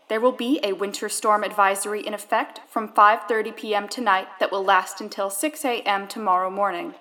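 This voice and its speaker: A woman plays an impersonal government announcer in an audio drama. Her mid-pitched delivery is composed, formal and measured, like scripted official notices. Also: fairly bright tone, lightly acted.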